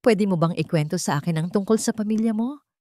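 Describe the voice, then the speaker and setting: A woman's voice: mid-range voice, quick pace, warm tone, dry recording, clean studio sound, lightly acted. A woman playing a shaken, distrustful young woman in a radio drama, with a trembling, weak delivery. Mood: guarded questioning. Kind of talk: Tagalog radio drama storytelling.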